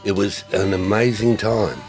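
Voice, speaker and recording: An older man, giving a reflective tribute in a halting way with many fillers and restarts. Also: fairly low pitch, fairly even intonation, over music. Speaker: former ministry co-worker.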